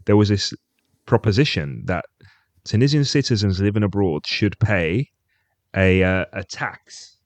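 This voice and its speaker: Low-voiced man talking on a podcast. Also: unhurried, no echo. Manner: thoughtful, reflective, hedging with "you know". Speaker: podcast co-host. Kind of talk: casual chat.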